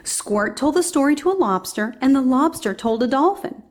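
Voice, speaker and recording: A woman's voice: high-pitched, lively intonation, normal speed. A woman reading a children's storybook aloud as scripted narration.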